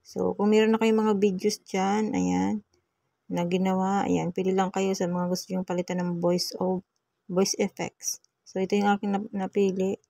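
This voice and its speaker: Filipino woman, even-toned and softly mellow. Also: mid-range voice, moderate pace, creaky, no room sound. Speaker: vlogger giving a phone editing tutorial. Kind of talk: casual step-by-step tutorial.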